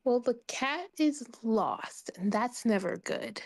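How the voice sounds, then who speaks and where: A woman's voice: fairly high pitch, animated, takes it slowly, vocal fry, dry recording, studio quality. A woman playing a tabletop game, casually speaking for her character and describing her actions. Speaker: player.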